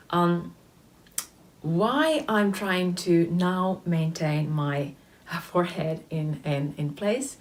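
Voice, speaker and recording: A woman in her late thirties from Finland with a Finnish accent, a face yoga teacher explaining exercises to camera in a methodical way, often pausing on "um". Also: lowish voice. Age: 30s. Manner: explanatory, methodical, with frequent "um" fillers. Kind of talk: instructional video talk to camera.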